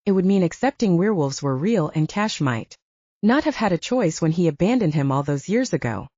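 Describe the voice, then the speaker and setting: Woman, audiobook narrator, animated intonation, soft dark tone, close dry sound, expressive fiction reading, dull lo-fi recording. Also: fairly low pitch, fairly quick, no room sound.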